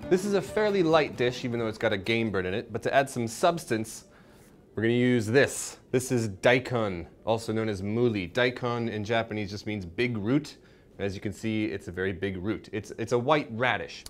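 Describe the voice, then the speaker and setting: A man's voice: on the low side, moderate pace, soft background music. A young man presenting a cooking show, explaining each step in an informal, instructional way. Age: young adult. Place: indoor home-style kitchen.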